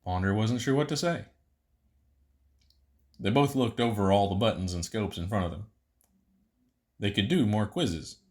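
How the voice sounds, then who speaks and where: A man's voice: fairly low pitch, moderate pace, long pauses. A man reading scripted fiction narration as the narrator of an audiobook story.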